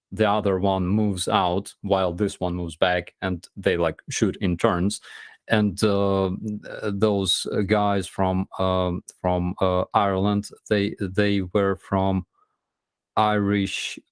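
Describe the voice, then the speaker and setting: A man telling a story in casual chat, halting with many ums and restarts. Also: low voice, dry recording.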